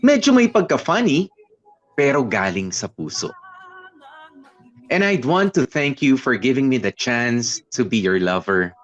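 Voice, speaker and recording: A man's voice: mid-range voice, big pitch swings, unhurried. An actor playing a playful, sentimental young lover in a romantic radio drama monologue, teasing and mixing English into his speech. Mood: teasing, tender. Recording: slightly dull sound with music behind.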